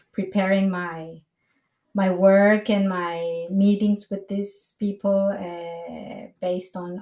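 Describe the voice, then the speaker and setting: A woman's voice: medium pitch, a little mellow. A woman in her forties from Colombia, a native Spanish speaker with a Colombian accent, talking as an interview guest and searching for words. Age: 40s. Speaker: guest, psychologist. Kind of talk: casual interview talk. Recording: roomy echo, distant from microphone.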